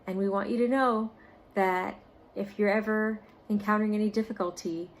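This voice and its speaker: A woman reading aloud and talking directly to children as a storytime volunteer, in deliberate, carefully phrased speech with short pauses. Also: medium pitch, fairly even intonation, slight background noise, poor audio.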